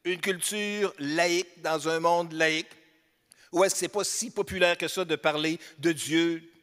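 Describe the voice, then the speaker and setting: Québécois male pastor, high voice, chatty joking sermon, long church reverb. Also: steady tone, measured pace, a bit bright. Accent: Québécois French. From Quebec, Beauce region. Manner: conversational, humorous, asks the congregation rhetorical questions. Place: church hall.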